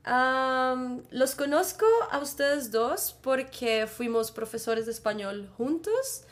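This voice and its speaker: A woman's voice: high-pitched, slow pace. A young adult woman from Colombia with a Bogotá accent, a podcast guest who talks at length in an easy, chatty way and laughs often. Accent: Bogotá Colombian Spanish. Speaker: guest, Spanish teacher. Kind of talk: casual podcast interview.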